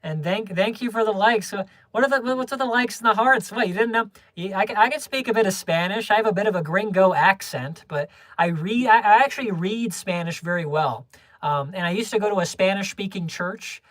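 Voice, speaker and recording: A young adult livestreamer chatting casually with viewers, rambling through many restarts and small laughs in a low-key, homebody way. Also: fairly quick, fairly bright tone, no echo.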